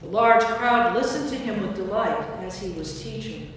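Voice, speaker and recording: A woman's voice: medium pitch, moderate pace. A woman narrating a scripted scripture story as part of a staged reading. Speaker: narrator, priest. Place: large reverberant church. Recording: echoey church, distant mic, faint noise.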